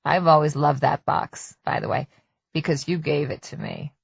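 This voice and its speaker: A woman narrator plays a middle-aged husband in a storybook thriller, his voice dropped low. He is calm, folksy and falsely tender, talking down with patient menace. The recording is dry and slightly dull. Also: moderate pace, gravelly, breathy edge, no echo, full character voice. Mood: soft, menacing. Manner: folksy, patient, condescending endearments.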